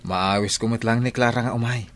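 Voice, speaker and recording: Lalaking gumaganap na seryosong binatang taga-baryo, malinaw at matining. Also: male voice, medium pitch, fairly even intonation, a little fast, fairly bright tone, close to an everyday voice. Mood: friendly, resolute. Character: earnest lovestruck young village man, reformed drinker. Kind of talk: Philippine radio-style story drama.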